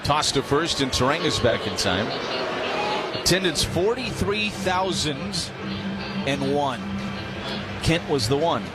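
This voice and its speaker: A man with a fairly high voice calls a baseball game live on radio as the play-by-play announcer. Prominent background sound runs behind him like music. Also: animated, takes it slowly, pauses often.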